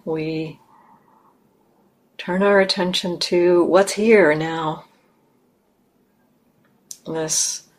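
A woman leading a guided meditation in measured, instructive phrases with long pauses. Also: low voice. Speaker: meditation teacher.

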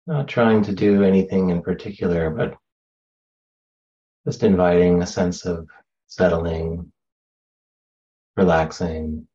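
A man guides a meditation in a low, mellow voice. His delivery is very slow and gentle, with long pauses between phrases. The sound is slightly dull. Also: fairly even intonation. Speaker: meditation teacher.

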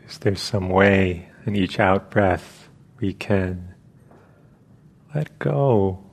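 Very slow, low-voiced man guiding meditation. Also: vocal fry. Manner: halting, with long pauses between short phrases. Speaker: meditation teacher. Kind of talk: guided meditation instruction.

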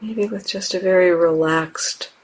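A woman teaching guided meditation, speaking gently and unhurriedly in short phrases with long pauses. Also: fairly low pitch, slight background noise. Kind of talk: guided meditation, unscripted instruction.

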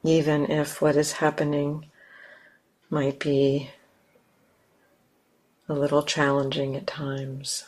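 A woman teacher leading a guided meditation in a gentle, unhurried manner, leaving long pauses between phrases. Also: low voice, completely flat delivery.

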